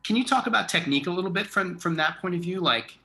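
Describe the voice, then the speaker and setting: A man's voice: high-pitched, expressive, fast talker, pushed and bright. A man hosting a casual conversational interview as a theatre director, asking questions and responding informally.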